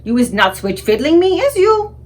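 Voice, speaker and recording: A woman voicing a greedy, bullying male giant who eats people, booming and blustering in a children's storybook reading. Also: big pitch swings, normal speed, a little mellow. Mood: suspicious, menacing. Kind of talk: children's storybook read-aloud.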